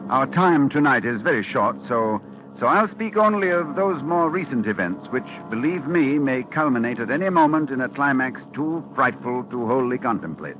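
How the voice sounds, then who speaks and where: An actor playing an anxious, solemn scholar who narrates a horror tale in formal, dramatic 1940s radio style. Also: male voice, mid-range voice, sing-song, normal speed, highs cut off, over music, close to an everyday voice. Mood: grave warning. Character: grave, anxious male scholar warning of cosmic horror.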